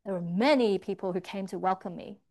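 A woman's voice: medium pitch, fairly quick, gravelly, a little mellow. A woman interpreting a church testimony, rendering the speaker's words line by line in the first person. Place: church service.

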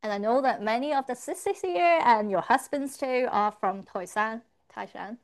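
A woman with a fairly high voice interprets at a church service. She renders each of the speaker's lines in the first person, in steady, even turns. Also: normal speed. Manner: renders his words line by line in the first person.

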